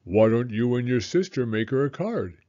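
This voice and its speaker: A man voicing a kindly, practical turtle father in a children's storybook, speaking gently. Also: medium pitch, lively intonation, normal speed, highs cut off, close to an everyday voice.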